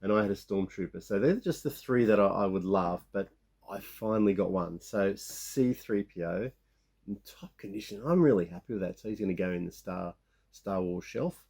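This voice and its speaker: A man in his fifties with a croaky sore-throat voice, rambling casually as he shows off his toy finds. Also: sits low, lively intonation, moderate pace, darkish tone. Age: 50s. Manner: rambling, many restarts and fillers. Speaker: toy collecting vlogger. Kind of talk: casual show-and-tell of toy haul.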